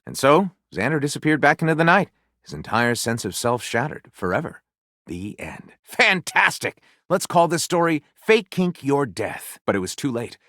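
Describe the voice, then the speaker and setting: Theatrical, wildly animated pulp storyteller. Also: male voice, mid-range voice, no echo, clean studio sound. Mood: theatrical enthusiasm. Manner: melodramatic, grandiose, playfully sardonic. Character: flamboyant, enthusiastic male storyteller of trashy pulp fan fiction. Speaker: video game character. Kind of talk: theatrical storybook narration for a comedy game.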